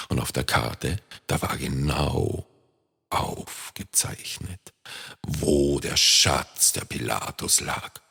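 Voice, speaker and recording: A man narrating a children's story in a lively manner, speaking to young listeners. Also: a bass voice, vocal fry, breathy edge. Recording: long reverb.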